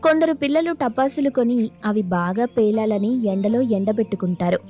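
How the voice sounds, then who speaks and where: A woman's voice: fairly high pitch, moderate pace, warm tone, faint music behind. A woman narrating a scripted moral story as its storyteller.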